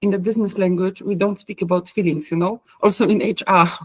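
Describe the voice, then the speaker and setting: A woman with a Polish accent, speaking English as a third language in a coaching group call, pausing often with fillers and restarts. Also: mid-range voice, fairly even intonation, husky, no room sound. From Poland. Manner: hesitant, many fillers and restarts. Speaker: coaching course participant. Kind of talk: group video call discussion.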